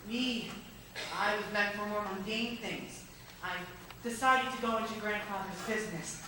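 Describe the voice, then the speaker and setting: Echoey, earnest young gentleman played by a teenage girl. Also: mid-range voice, steady tone, normal speed, far from the mic, noisy background, lightly acted. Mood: wistful, earnest. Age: teens. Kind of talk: high school stage musical acting and singing. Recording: echoey stage recorded from afar. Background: musical accompaniment.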